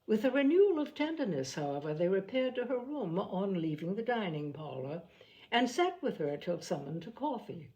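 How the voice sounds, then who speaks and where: A woman narrating a classic novel as an audiobook in an expressive literary storytelling style. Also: medium pitch, moderate pace, rough recording.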